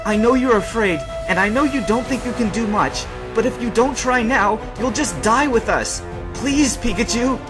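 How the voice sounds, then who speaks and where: A man voicing an earnest, excitable young boy hero in distress. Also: extremely high pitch, moderate pace, over music, pitched above the performer's own voice. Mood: pleading, afraid.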